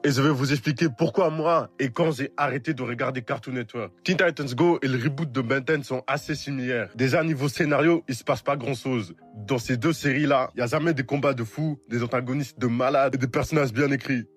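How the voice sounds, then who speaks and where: Young man with husky voice, casual commentary. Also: medium pitch, brisk, faint music behind. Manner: relaxed, slangy, conversational. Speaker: video essayist.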